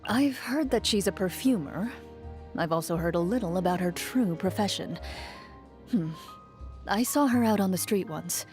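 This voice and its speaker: A woman playing a composed, dutiful young female champion duelist in a video game, speaking in a formal, measured, reserved way. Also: medium pitch, animated, darkish tone, close to an everyday voice. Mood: composed, reflective. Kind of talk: video game character voice lines, reflective monologue. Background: music under the voice.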